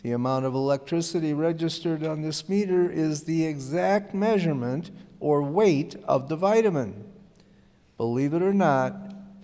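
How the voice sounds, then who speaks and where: Casting: male actor as earnest, courteous radio druggist, mellow and slightly high voice, reverberant hall. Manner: explanatory, folksy, courteous. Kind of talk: old-time radio advertisement, scripted. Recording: reverberant hall stage recording.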